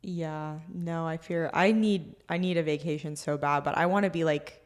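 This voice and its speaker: A woman with a low-pitched voice co-hosts a podcast in a laid-back, conversational way. She answers with easy agreement and dry understatement. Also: steady tone, normal speed. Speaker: podcast co-host. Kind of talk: casual chat.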